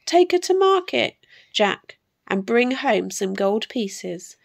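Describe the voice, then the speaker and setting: A woman voicing a stern, exasperated country mother, sharp and emphatic, in a storybook tale. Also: fairly high pitch, very animated, takes it slowly, creaky, full character voice. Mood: firm.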